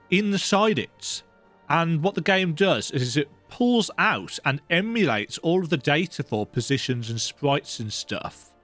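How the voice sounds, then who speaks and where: A man's voice: medium pitch, a bit bright. A man giving casual, conversational commentary while playing a video game.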